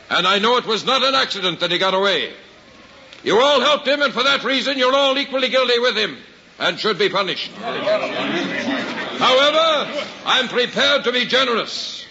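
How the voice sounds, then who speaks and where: Harsh, high-pitched Roman officer. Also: male voice, background noise. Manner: commanding, contemptuous, declaiming. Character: harsh Roman officer threatening a marketplace crowd. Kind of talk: 1950s radio drama acting. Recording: muffled old radio, long hall reverb, crowd.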